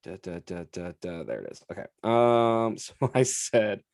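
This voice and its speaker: A man's voice: fairly low pitch, big pitch swings, measured pace, gravelly, a little mellow, no echo, clean studio sound. A young man co-hosting a casual sports podcast, chatty and laughing often as he talks. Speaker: podcast co-host.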